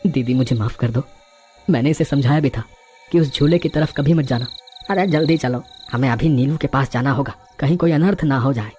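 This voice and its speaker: A man playing an anxious, melodramatic young village husband with an exclamatory manner in an animated horror story. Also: mid-range voice, brisk, soft background music, natural voice. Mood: apologetic, worried.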